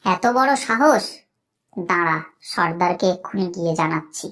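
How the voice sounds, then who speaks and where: A woman's voice: on the low side, animated, moderate pace, a bit bright. A woman voicing a sly, taunting kite bird, henchman to a gang chief in a children's cartoon. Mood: indignant. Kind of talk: Bengali children's cartoon voice acting.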